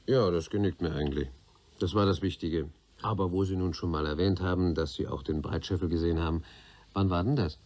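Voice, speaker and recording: An actor playing a persistent police interrogator in a radio crime drama, probing, methodical and authoritative. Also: male voice, low voice, a little fast, a little mellow.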